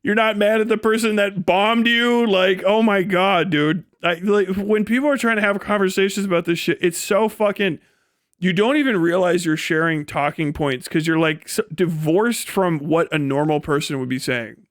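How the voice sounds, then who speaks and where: A man's voice: sits high, moderate pace, fairly bright tone, no room sound. A man, recorded close and dry. He talks off the cuff like a streamer, swearing freely, with a mocking, incredulous edge to his commentary. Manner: breaks often into laughter while talking. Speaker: live streamer. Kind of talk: casual rant and commentary to a stream audience.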